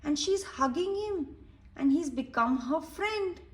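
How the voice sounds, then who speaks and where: A woman's voice: high-pitched, expressive, unhurried. A woman reading a story aloud to young children, explaining it and putting questions directly to the listeners. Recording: echoey room, distant mic.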